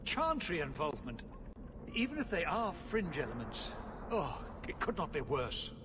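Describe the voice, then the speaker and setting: A harried middle-aged nobleman who governs a city in a fantasy game. His fairly high voice swings widely, formal and exasperated, over noisy ambience. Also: big pitch swings, moderate pace. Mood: weary, troubled. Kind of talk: dramatic video game acting. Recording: loud ambient noise behind.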